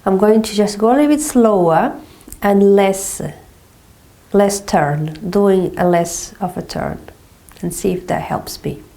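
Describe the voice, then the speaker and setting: A woman with a blocked nose from a cold, calmly guiding a movement exercise step by step. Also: medium pitch. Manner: slow, calm step-by-step guidance with frequent "okay". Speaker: movement teacher. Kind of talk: guided exercise instruction.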